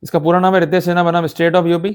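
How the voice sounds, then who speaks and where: Indian guy teaching like a law lecturer, pretty high voice, emphatic, explains stuff point by point. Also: little pitch movement, quick pace, clean tone. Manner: didactic, emphatic, checks understanding with repeated short questions. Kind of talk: explanatory lecture in Hindi mixed with English legal terms.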